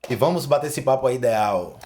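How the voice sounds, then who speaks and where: A man's voice: mid-range voice, animated, a little fast, dark tone. A man co-hosting a podcast, chatting informally and calling his guest "cara". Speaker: podcast co-host. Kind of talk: casual podcast chat. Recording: echoey room.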